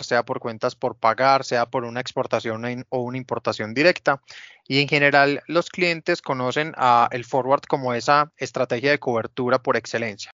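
A man's voice: medium pitch, fairly bright tone, no room sound, lo-fi sound. A man speaking as a guest finance expert, explaining didactically through step-by-step examples. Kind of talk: explanatory interview answer.

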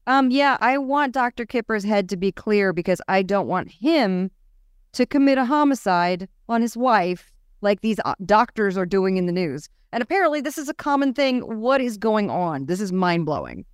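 A woman co-host asks question after question in a loose, chatty way, full of "like" and "you know". Her voice is smooth and clear. The sound is close and dry. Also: medium pitch, expressive, normal speed, no echo. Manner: curious, chatty, lots of questions and fillers. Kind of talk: casual health talk.

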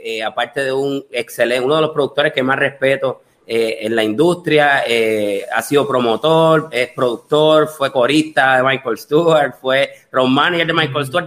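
An older man hosting a casual podcast chat, relaxed, with frequent fillers and laughter. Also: medium pitch. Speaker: podcast host.

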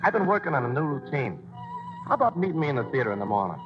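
An actor playing a wisecracking vaudeville hoofer. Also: male voice, medium pitch, big pitch swings, racing, music in the background, close to an everyday voice. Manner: breezy, wisecracking. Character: cocky male vaudeville dancer. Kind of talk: 1940s radio acting. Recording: muffled old radio broadcast.

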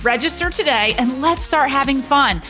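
Upbeat woman pitching a course. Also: moderate pace, bright, forceful tone, faint music behind. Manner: upbeat sales pitch, addresses the listener. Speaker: podcast host reading a promo. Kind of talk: promotional ad read.

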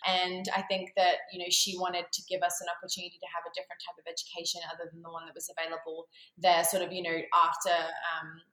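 An Australian-accented woman in her thirties talks in a chatty, upbeat way as a podcast guest. Her voice is bright and a little low, and it rings in an echoey room. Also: completely flat delivery, quick pace, few pauses. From Zambia. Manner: chatty, upbeat, many fillers and restarts. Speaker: guest, marketing strategist. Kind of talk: casual interview talk.

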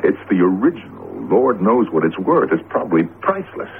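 An actor playing a flustered married professor in a radio drama, nervous and stubborn, with a hesitant, rattled delivery. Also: male voice, sits low, sing-song, a little fast. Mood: worried. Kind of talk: 1970s radio drama acting. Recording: muffled, noisy vintage radio broadcast.

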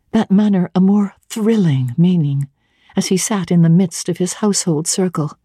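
A woman with a husky, mellow voice reads a classic novel aloud. Her delivery is measured and earnest, dry and close to the mic. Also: on the low side, no echo. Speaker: reader of classic literature. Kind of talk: dramatic reading of a classic novel.

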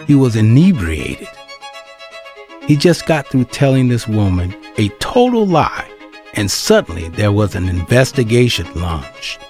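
A man's voice: on the low side, sing-song, measured pace, a little mellow. A man reading scripted narration for an audio drama, with string music behind him.

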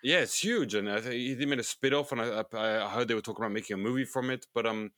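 A tired man with low energy co-hosting a podcast, talking casually and hesitantly, often repeating words. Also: fast, a bit bright, no room sound, clean studio sound. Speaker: podcast co-host, film distributor.

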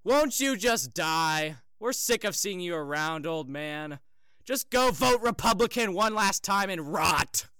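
An actor playing an insolent, taunting young troublemaker in an audio drama. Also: male voice, high voice, lively intonation, a little slow, pushed and bright, clear, resonant tone, no echo, clean studio sound, heavily acted. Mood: sneering, taunting.

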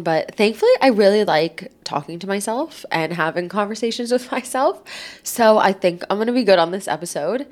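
A woman in her late twenties chats on her own as a podcast host, rambling easily from one thought to the next. She sounds a little stuffed up from a cold and keeps dropping "like" into her sentences. Her voice sits at an ordinary middle pitch. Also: darkish tone. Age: 20s. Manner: chatty, rambling, says "like" a lot. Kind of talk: casual solo podcast chat.

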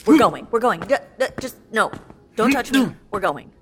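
A woman's voice: on the high side, animated, normal speed, in a large hall, a little hiss or hum, close to an everyday voice. A woman playing an exasperated, dry-witted captive adventurer in an audio drama. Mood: exasperated protest.

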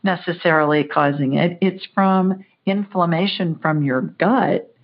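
A woman hosting a call-in show, talking in a brisk, chatty, folksy way. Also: lowish voice. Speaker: psychic show host. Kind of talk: call-in radio chat. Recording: muffled lo-fi audio.

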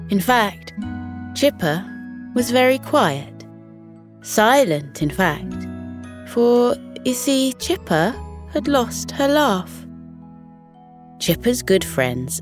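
A woman reading a scripted children's bedtime story in a gentle, unhurried storytelling manner. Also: fairly low pitch. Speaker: podcast host and bedtime story narrator.

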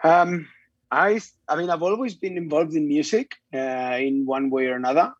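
A man's voice: a little high, moderate pace, slightly rough, no room sound, muffled recording. A man, a guest chatting loosely with lots of "you know" fillers. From Spain. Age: 40s. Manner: rambling, many "you know" fillers, easy laughs. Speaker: guest, club music director. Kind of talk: casual interview chat.

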